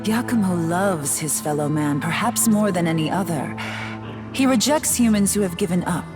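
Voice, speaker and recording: A woman's voice: on the low side, lively intonation, moderate pace, lightly acted. A woman playing a poised, teasing goddess turned demon in a video game, refined and coolly condescending, over music. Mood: amused, earnest. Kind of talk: dramatic video game voice acting. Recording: loud game music underneath.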